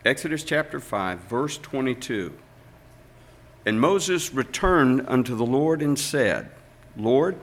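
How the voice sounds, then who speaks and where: An older man, a pastor, reading scripture aloud and preaching a sermon in a slow, deliberate way with emphatic pauses. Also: mid-range voice, a bit bright.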